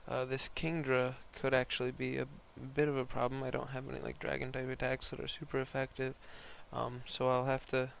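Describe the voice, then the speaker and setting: A young man hosts casual game commentary in a hoarse voice with heavy creak and fry at a middling pitch. He rambles in a laid-back, slangy way as he talks through the battle. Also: monotone, a little fast, few pauses, a little hiss or hum. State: hoarse, voice partly lost from concert the night before. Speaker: host narrating online game battles.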